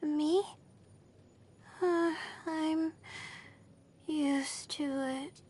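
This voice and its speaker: A woman's voice: high-pitched, steady tone, drawn-out words, a little mellow. A woman voicing a sleepy, anxious young student stargazer in an anime game, hesitant and drowsy. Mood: weary. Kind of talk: anime video game voice lines.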